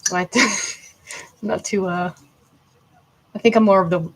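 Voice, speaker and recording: A woman with a well-spoken Australian accent from Melbourne, chatting calmly on a live stream as she sculpts a doll and narrates each step. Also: lowish voice, slow pace, rough recording. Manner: talks calmly while working, with quiet asides about each step. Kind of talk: casual live-stream chat while sculpting.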